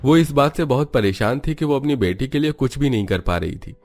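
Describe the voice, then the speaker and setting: A man's voice: medium pitch, animated, a little fast, a little mellow, soft background music. A man narrating a scripted cartoon family story as a storyteller.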